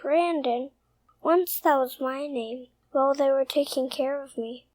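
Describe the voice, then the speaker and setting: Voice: sits high, a little slow, a little mellow, no room sound. A young performer playing a shy, anxious six-year-old boy in a radio soap, polite, hesitant and earnest. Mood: earnest, unsure.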